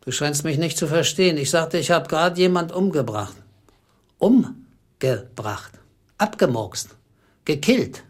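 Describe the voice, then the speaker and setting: An actor playing an embittered, henpecked office clerk in a radio play, brooding and theatrical as narrator and husband. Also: male voice, on the high side, expressive, measured pace, pauses often, no room sound, studio quality. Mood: agitated, boastful. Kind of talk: radio play, dramatic first-person narration and dialogue.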